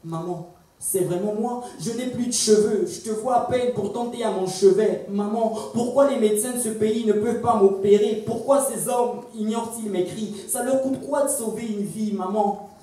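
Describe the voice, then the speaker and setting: A man's voice: sits high, moderate pace, warm tone, voice pushed up, lightly acted. A man voicing a sick young girl who pleads plaintively with her mother in a slam poem. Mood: plaintive pleading. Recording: echoey hall, distant microphone.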